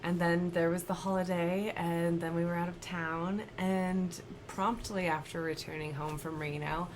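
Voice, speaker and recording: A woman in her thirties chatting at home about her stitching, slightly raspy from a cold and laughing often. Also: lowish voice, flat delivery, a little slow, a little hiss or hum. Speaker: cross-stitch vlogger. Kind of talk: casual chat to camera. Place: home, indoors.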